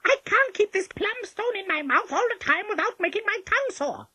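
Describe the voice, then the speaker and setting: A woman voicing an irritable male storybook wolf who fakes a high, sweet motherly voice. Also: lively intonation, bright, forceful tone, dry recording, full character voice. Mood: flustered, irritable. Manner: hurried, irritable.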